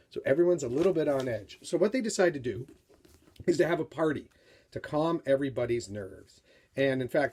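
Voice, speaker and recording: A middle-aged man giving an author's talk and read-aloud for young readers, telling it in an animated, dramatic storyteller's manner. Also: medium pitch, husky. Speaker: children's author reading from his book.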